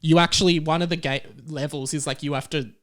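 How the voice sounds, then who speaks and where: A man's voice: a little high, steady tone, fairly quick, fairly bright tone. A young Australian man bantering casually on a comedy podcast, joking and giggling as he talks.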